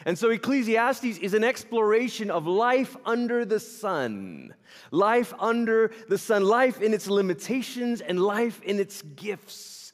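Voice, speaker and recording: A man from Malaysia preaching a sermon in a church, telling animated, self-deprecating stories with humor. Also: sits high, measured pace. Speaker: pastor. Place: church sanctuary. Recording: long reverb of a large hall.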